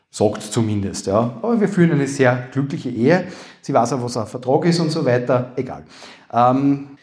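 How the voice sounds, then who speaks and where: Upper Austrian man joking on stage. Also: a little mellow. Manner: dry joking asides, trailing off low. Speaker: lawyer and comedy panelist. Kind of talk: live stage talk with jokes. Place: university hall before a live audience. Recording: echoey room. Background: audience.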